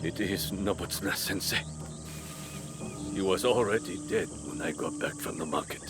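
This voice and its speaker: Gravelly, bitter samurai disciple. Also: male voice, low voice, normal speed, over music. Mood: grieving, bitter. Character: grief-stricken, vengeful male samurai disciple, fiercely loyal. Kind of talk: dramatic video game acting.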